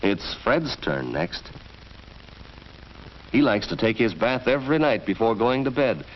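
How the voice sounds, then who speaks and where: Man, American accent, 1940s school film narrator, instructive storytelling, animated pitch, dull vintage soundtrack. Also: a little slow, slight background noise.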